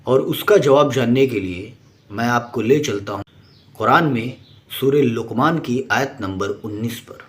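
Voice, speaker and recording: A man's voice: medium pitch, unhurried. A man narrating a scripted explainer in an emphatic, didactic manner. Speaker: presenter.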